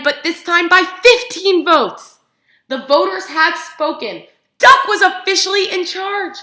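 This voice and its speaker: A woman teacher reads a picture book aloud to preschoolers in an animated, expressive way, using simple phrases and putting questions to her young listeners. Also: high voice. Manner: animated, expressive, simple child-directed phrasing with gasps for effect. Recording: echoey room sound.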